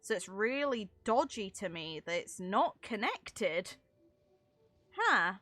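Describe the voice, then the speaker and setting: A woman's voice: mid-range voice, big pitch swings, takes it slowly, fairly bright tone, faint music behind. A woman streaming a game with casual live commentary, rambling as she thinks aloud and swearing mildly.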